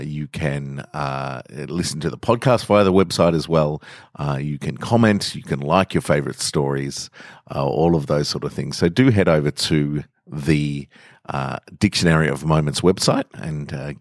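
A man's voice: rumbling low, no room sound, studio quality. A man hosting a podcast, talking informally and reading short literary stories aloud as narrator.

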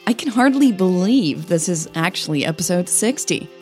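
A woman with a soft, breathy voice, a podcast host who narrates her own audio fiction, over music. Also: lowish voice, lively intonation, moderate pace. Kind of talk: scripted story narration with casual host outro. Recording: music bed under the voice.

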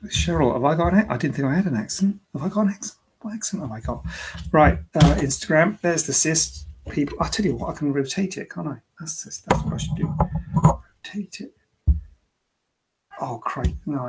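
A gravelly-voiced man with a husky edge hosts a casual live-streamed Q&A as a plastic surgeon. He chats and jokes at his own expense, then explains medical advice in plain, everyday terms. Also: on the high side, expressive, brisk, low-quality recording. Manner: chatty, joking, self-deprecating asides.

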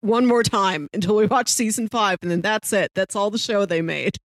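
A woman with a mid-pitched voice chats as a podcast co-host. She sounds wry and informal, recorded very dry with no room around her. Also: moderate pace, dry recording, low-quality recording. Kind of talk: casual chat.

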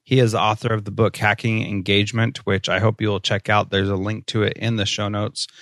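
A man's voice: fairly low pitch, flat delivery, fairly quick, a bit bright, no echo, studio quality. A man hosting a podcast interview, upbeat and conversational, laughing easily with his guest. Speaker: podcast host.

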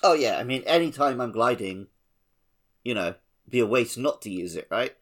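A man's voice: mid-range voice, lively intonation, moderate pace, a bit bright. A man co-hosting a podcast, chatting casually about a video game. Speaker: podcast co-host; teacher.

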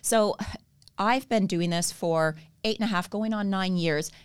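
A woman's voice: medium pitch, measured pace, clear, resonant tone. Canadian woman explaining stretch therapy. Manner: explanatory, earnest, laughs easily. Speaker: guest, stretch therapy educator. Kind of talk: podcast interview.

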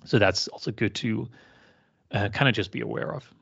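A man co-hosting a tech podcast in a low voice. He talks casually and hesitantly, often restarting his sentences. The audio is a little dull. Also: moderate pace, creaky, a bit bright, husky. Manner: hesitant, many fillers and restarts. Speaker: podcast co-host.

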